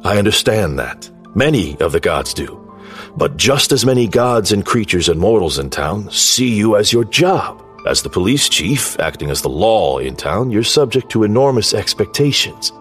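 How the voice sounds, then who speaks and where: An actor playing a solemn, wary god in mortal form, speaking in a grave, measured, formal way in an audio drama. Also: male voice, lowish voice. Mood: earnest, measured.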